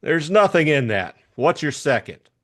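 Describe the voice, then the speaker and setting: A tough, cynical private eye from a hard-boiled crime story, acted out by a male reader. He talks in blunt slang with a crisp, needling edge. The pitch is raised and moves about freely. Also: sing-song, measured pace, a bit bright, heavily acted.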